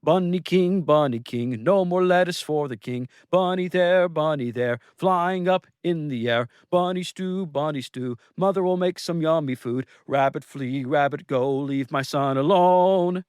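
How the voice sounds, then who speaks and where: A man's voice: on the high side, a little slow, few pauses, a little mellow, clean tone, no echo, clean studio sound, pitched above the performer's own voice, lightly acted. Storybook dad: boisterous and jolly, raised and sing-song, voiced by a man. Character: boisterous cheerful father rescuing his son.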